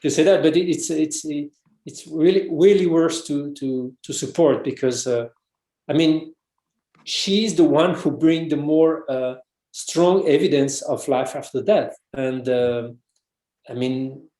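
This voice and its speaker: A man's voice: fairly high pitch, slow pace, warm tone, reverberant. A man from France speaking English with a French accent as an interview guest, hesitant, with many restarts. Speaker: guest, researcher and author. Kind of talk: casual interview conversation.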